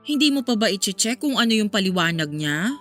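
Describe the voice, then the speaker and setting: Level-headed female confidante, medium pitch. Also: sing-song, brisk, fairly bright tone. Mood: gently reasoning. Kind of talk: Filipino radio drama acting. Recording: music under the dialogue.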